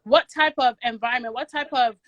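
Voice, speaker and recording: Woman from Ghana, 30s, guest talk, earnest and repetitive emphasis, fairly high voice, dry close sound. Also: a little fast, dry recording, lo-fi sound. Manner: earnest, repeats key words for emphasis. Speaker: guest, mortgage agent and credit coach. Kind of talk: casual interview talk.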